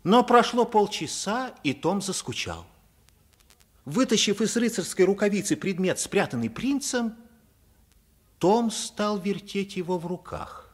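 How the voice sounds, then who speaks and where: Animated, higher-voiced male storyteller. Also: measured pace. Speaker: narrator. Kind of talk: expressive radio storytelling narration.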